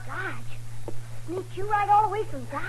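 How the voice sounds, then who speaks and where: A young frontier farm boy, friendly and full of artless chatter. The voice is very high and childlike. It sounds like a vintage radio drama with faint noise. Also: animated, measured pace. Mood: friendly, curious. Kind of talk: 1950s radio western acting.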